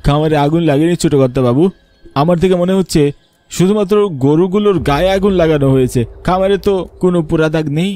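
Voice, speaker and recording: An actor voicing humble, loyal village farmhands in a horror cartoon, deferential and pleading in manner. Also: male voice, fairly high pitch, fast talker, dark tone, dry recording, close to an everyday voice. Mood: alarmed. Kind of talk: dramatised horror cartoon dialogue. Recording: music bed under the voice.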